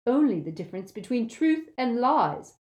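A woman voicing a stern older female professor who speaks in a crisp, measured, icily proper manner. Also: a little high, big pitch swings, darkish tone, voice pushed up.

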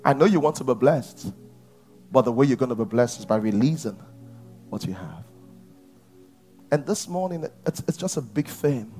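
A man preaching a sermon to his church, at times rising to shouts. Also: mid-range voice, lively intonation, normal speed, long pauses, soft background music. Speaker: pastor.

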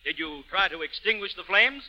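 An actor playing a relentless, accusing prosecutor in a 1930s radio drama, stern and hammering. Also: male voice, sits high, expressive, brisk, sharp tone, highs cut off, faint music behind, full character voice. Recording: old 1930s radio broadcast recording.

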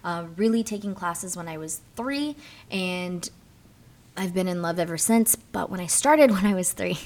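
A young woman from Miami, a martial artist guest in a casual interview, telling her story with animated, acted-out quotes. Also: on the low side, normal speed. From Miami, Florida.

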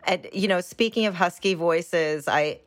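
Husky raspy-voiced woman. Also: lowish voice, a little slow, no echo. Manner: candid, laughs easily. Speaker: podcast guest, intimacy coach. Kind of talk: casual chat.

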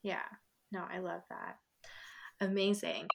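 A woman hosting a casual podcast interview, chatty and bubbly, full of fillers as she fires off quick questions. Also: on the low side, level tone, vocal fry. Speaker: podcast host.

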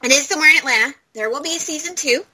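High, very bright animated woman in her thirties. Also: racing. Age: 30s. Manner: dramatic, acts out quotes, swears freely. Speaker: podcast co-host. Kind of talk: casual chat, animated storytelling.

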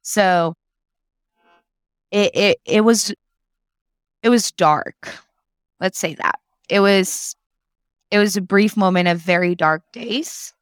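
A woman's voice: mid-range voice, takes it slowly, pauses often, smooth, no room sound, clean studio sound. A woman, reflectively telling her story as an interview guest and slipping Spanish phrases into her English. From Mexico.

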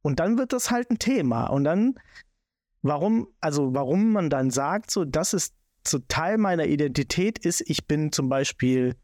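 A man's voice: on the high side, expressive, normal speed, a little mellow, no echo, clean studio sound. A man with a Swabian German accent, hosting a casual podcast talk and rambling with many restarts. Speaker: podcast host.

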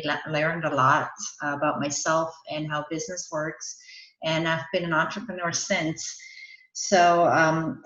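A woman from Austria, a parenting coach, explaining her work earnestly as a podcast guest. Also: low-pitched. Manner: earnest, explanatory, laughs between points. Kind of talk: interview answers. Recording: roomy, dull lo-fi sound.

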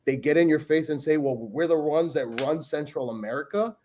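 A man from Peru in blunt, animated casual sports chat, cursing freely as a podcast panelist. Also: fairly high pitch, fairly quick.

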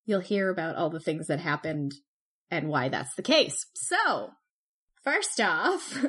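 Woman, podcast co-host, chatty, animated storyteller, casual. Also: on the low side, takes it slowly, breathy edge. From Canada. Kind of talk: casual chat.

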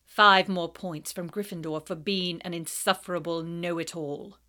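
A woman voicing a cold, sarcastic male teacher who speaks slowly, quietly and with silky menace. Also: lowish voice, fairly even intonation. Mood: cold, menacing. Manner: slow, quiet, icy and sarcastic.

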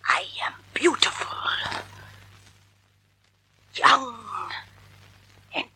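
Vain, murderous woman, high sharp voice. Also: sing-song, extremely slow, in a whisper, exaggerated voice, low-quality recording. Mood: desperate denial. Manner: defiant, imperious, increasingly frantic. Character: vain, murderous aging woman clinging to youth and beauty. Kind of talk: 1940s radio drama acting.

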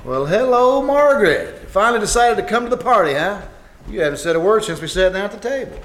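A man's voice: sits high, very animated, quick pace, a bit bright. A man playing an exasperated, falsely brave father in an old-time radio comedy, blustering with sarcasm and stammers. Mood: smug scolding. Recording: echoey live winery stage recording, clear noise.

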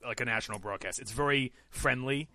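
A man hosting a casual podcast chat, energetic and laughing easily, with lots of fillers. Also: mid-range voice, brisk, no echo. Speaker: podcast host.

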